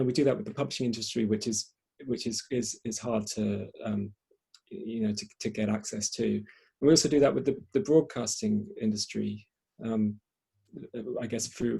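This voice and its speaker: Guy hosting a casual panel, really raspy n creaky, stops and starts a lot. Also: mid-range voice, warm tone. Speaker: host of online writers' event. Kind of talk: informal panel moderating.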